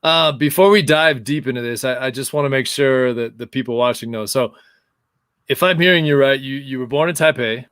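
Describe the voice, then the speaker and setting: A man hosting a podcast, rambling and self-deprecating as he trips over his words. His voice sits at an ordinary pitch and sounds dry and close to the mic. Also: fairly quick, fairly bright tone, smooth, no echo. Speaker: podcast host. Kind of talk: casual interview chat.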